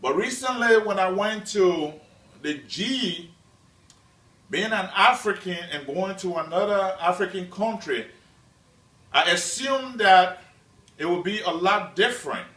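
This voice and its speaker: Student speaker from Liberia, man, fairly high voice, unhurried prepared speech, heard off-mic. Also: animated, pushed and bright. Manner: slow, measured delivery with deliberate pauses. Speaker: student presenter. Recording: echoey room, mic at a distance.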